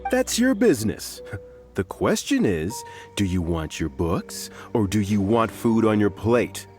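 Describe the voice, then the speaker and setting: A sneering thug enforcer. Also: male voice, fairly low pitch, big pitch swings, unhurried, a little mellow, soft background music. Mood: threatening. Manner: mocking, scornful, laughs derisively. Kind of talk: video game voice acting.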